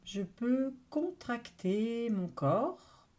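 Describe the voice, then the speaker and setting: A woman leading a guided relaxation meditation in a calm, soothing manner, speaking in short phrases with long pauses. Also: on the high side. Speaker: yoga nidra meditation guide.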